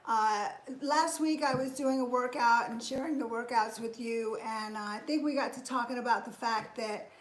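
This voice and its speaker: A woman's voice: a little high, steady tone, moderate pace, hardly any pauses. A woman in her fifties hosting a casual live stream, greeting viewers by name and chatting about fitness. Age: 50s. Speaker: fitness host. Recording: echoey room.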